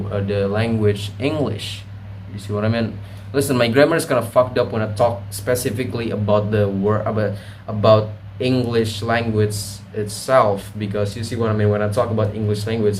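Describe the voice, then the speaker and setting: A man's voice: fairly low pitch, a little mellow. Young guy vlogging, rambly, keeps correcting himself, cusses a lot, faint hum behind. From Indonesia. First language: Indonesian. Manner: rambling, self-correcting, swears freely. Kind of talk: casual rambling monologue.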